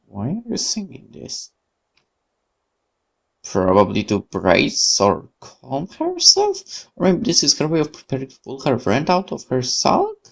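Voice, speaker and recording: A man whose first language is Polish, hosting a let's play and reading a horror visual novel aloud with lively asides and self-corrections. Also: mid-range voice, a little slow, gravelly.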